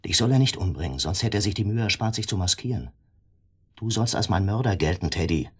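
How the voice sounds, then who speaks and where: Very husky, doomed captive. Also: male voice, low-pitched, lively intonation, a little fast. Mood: grimly reasoning. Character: doomed captive man, grimly lucid and bitter. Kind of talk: German radio drama acting.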